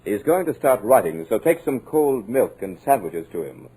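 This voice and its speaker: An actor playing a smooth, commanding radio producer with a sinister edge, in a 1940s radio drama. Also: male voice, fairly low pitch, big pitch swings, moderate pace, dark tone, heavily acted, poor audio. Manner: suave, commanding.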